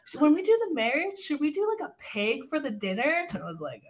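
A woman's voice: high voice, brisk. Woman telling family stories, laughing. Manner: laughing, animated storytelling with quoted voices. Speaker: guest. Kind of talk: casual podcast chat.

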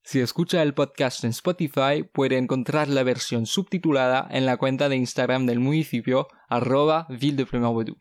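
A man hosting a podcast, reading clear scripted announcements and counting down to the start. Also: medium pitch, moderate pace, hardly any pauses, no room sound, clean studio sound. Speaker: podcast host.

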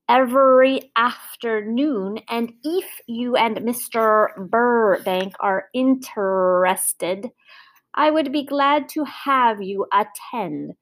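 Storybook role: smug, prim matron, acted by a woman reader, very slow, halting, painfully precise diction. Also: a little high, a bit bright, poor audio. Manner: halting, drawn-out, over-precise enunciation with little ahs. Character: prim, condescending mother lecturing on correct speech.

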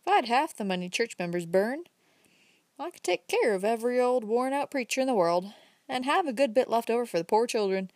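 A woman's voice: on the high side, animated, brisk, darkish tone, no room sound, studio quality, voice pushed up, natural voice. A woman voicing a talkative, folksy old countryman with a rustic drawl, reading an audiobook. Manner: chatty, homespun, emphatic.

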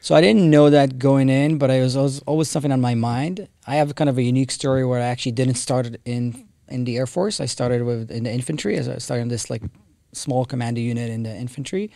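A man's voice: medium pitch, quick pace, gravelly, warm tone, no echo. A man, telling his story as a guest with many fillers and restarts. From Israel. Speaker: guest, company co-founder. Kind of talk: casual interview talk.

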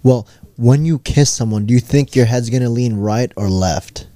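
A young man co-hosting a podcast in casual chat, teasing the others with deadpan, provocative questions. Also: mid-range voice, normal speed, no room sound, clean studio sound. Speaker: podcast co-host.

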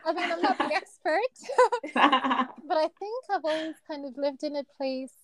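A woman in her thirties from Kenya with a soft voice, chatting casually as an interview guest, laughing often and searching for words. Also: sits high, normal speed, a loud crowd. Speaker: guest, neurosurgery registrar.

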